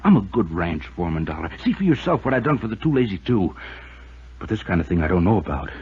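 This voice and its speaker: An actor in an old-time radio drama playing a desperate, cornered ranch foreman who pleads and repeats himself, husky-voiced. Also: low voice, big pitch swings, fast, exaggerated voice. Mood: pleading, agitated. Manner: repetitive, pleading, agitated, folksy grammar. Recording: dull lo-fi old radio recording.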